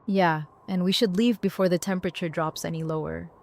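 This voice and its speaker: A woman's voice: fairly low pitch, steady tone, brisk, creaky, a little mellow. An actress playing a blunt, determined woman survivor in an audio drama. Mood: terse. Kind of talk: fan audio drama acting.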